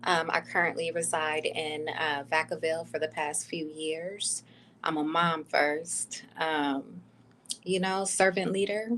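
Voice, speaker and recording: A woman's voice: low voice, steady tone, measured pace, a bit bright, slight background noise, low-quality recording. A woman from Oakland, a mother speaking as a panel guest on a video call, polite and unassuming with many fillers. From Oakland, California. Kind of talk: casual panel introduction.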